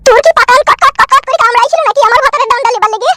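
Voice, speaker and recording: A woman voicing a jealous, scolding village wife in a comedy cartoon, with a pitched-up voice. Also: rapid-fire, very noisy. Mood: furious, scolding. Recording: loud music behind the voice.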